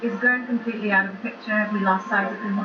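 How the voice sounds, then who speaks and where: A woman's voice: mid-range voice, fairly even intonation, racing, muffled recording. A woman playing a tabletop game, chatting casually in character in a questioning, hesitant way. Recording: roomy, distant mic, loud background noise.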